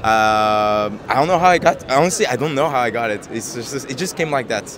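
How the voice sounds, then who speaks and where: A young man in his twenties from Japan speaks softly and hesitantly, padding his answers with fillers and quick laughs. His voice sits in an ordinary middle range. He is recorded on a handheld mic in a packed city square, with crowd chatter all around. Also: medium pitch, expressive. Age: 20s. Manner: soft-spoken, hesitant, many fillers, laughs easily. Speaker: interviewee. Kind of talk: casual street interview. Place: busy city square outdoors. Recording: busy street crowd behind.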